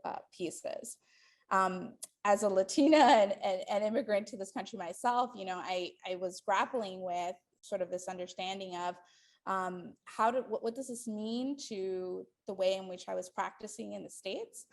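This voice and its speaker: A woman's voice: on the low side, vocal fry. A woman in her forties, from the Dominican Republic, giving a lecture to a live audience in a hall, thinking aloud with many ums and restarts. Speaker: guest lecturer.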